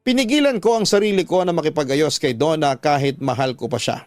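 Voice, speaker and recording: A man's voice: on the high side, fairly quick, no echo, studio quality. A man with a Filipino accent telling a dramatic first-person story as a scripted radio drama narrator. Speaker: radio drama narrator reading a listener's letter. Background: music.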